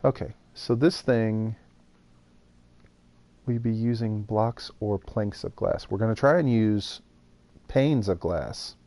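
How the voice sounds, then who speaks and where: Slow, mellow-voiced man streaming gameplay. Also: lowish voice, gravelly, dry recording. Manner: thinks aloud while building, talks to chat. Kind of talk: casual live gameplay chat.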